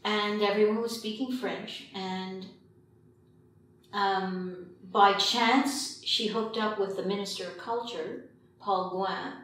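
An older woman from Quebec reflecting in an interview as a filmmaker, pausing often mid-sentence. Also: mid-range voice, fairly even intonation, a bit bright. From Quebec, Canada. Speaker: documentary filmmaker being interviewed. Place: indoor meeting room at a long table. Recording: very echoey room, distant mic, faint noise.

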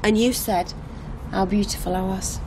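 A woman's voice: fairly even intonation, moderate pace, close to an everyday voice. An actress playing a provocative wife who needles her husband in an insistent, teasing way, in a naturalistic radio drama. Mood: goading. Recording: noisy car interior, vehicle rumble. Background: moving vehicle noise.

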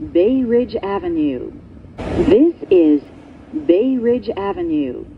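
A woman giving pre-recorded subway announcements in a measured, carefully enunciated, pieced-together delivery. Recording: muffled train speaker, vehicle noise. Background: subway car rumble.

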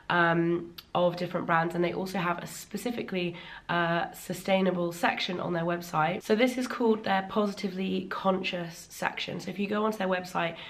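A woman in her thirties talks through a sponsored haul in an easy, enthusiastic way, dropping frequent ums. Her voice sits a little low for a woman and still sounds slightly worn from laryngitis. She is recorded on a camera mic in a bright living room. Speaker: fashion vlogger. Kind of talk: casual sponsored haul vlog.

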